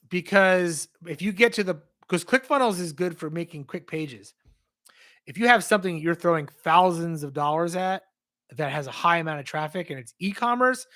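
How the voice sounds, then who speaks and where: Guy doing a live q&a, kinda higher voice, going hoarse, rambles a lot. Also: a bit bright. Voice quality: strained, hoarse. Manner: rambling, conversational, reads out and answers chat questions. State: losing his voice. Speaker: livestream host answering viewer questions. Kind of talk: casual livestream Q&A.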